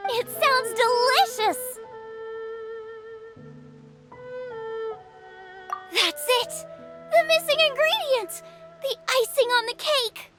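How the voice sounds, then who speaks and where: Teenage girl chef, game character, very high voice, swooping intonation, eager, bubbly, food-obsessed. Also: animated, measured pace, over music. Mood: excited. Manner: enthusiastic, exclaiming. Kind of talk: video game character acting.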